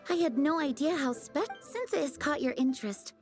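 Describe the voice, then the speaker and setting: A woman's voice: high-pitched, animated, normal speed, a little mellow, faint music behind. An actress playing a polite, earnest young woman who runs an antiques shop in a video game. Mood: grateful, earnest. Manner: courteous, earnest.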